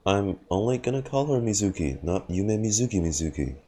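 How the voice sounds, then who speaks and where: Low, mellow male game streamer. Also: lively intonation, a little fast, husky. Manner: musing aloud to himself. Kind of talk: casual live playthrough reaction. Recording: game music behind the voice.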